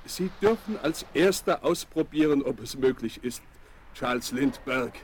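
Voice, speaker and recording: An actor playing a courteous, formal official in a German children's radio play. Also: male voice, medium pitch, lively intonation, measured pace, lightly acted. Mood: warmly ceremonious. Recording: faintly noisy vinyl record transfer.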